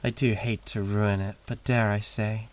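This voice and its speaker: A man's voice: on the low side, little pitch movement, moderate pace, close to an everyday voice. A British man playing a suave, condescending, flirtatious demon in a theatrical roleplay audio drama, with a haughty drawl. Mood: seductive.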